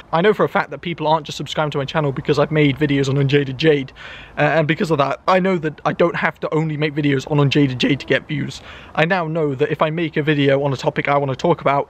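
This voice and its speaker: A loud, chatty young man in his own garden at night, talking casually to camera in a self-mocking way. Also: on the high side. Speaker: YouTuber. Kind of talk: casual vlog monologue. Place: enclosed garden outdoors at night. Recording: echo from trees in the garden.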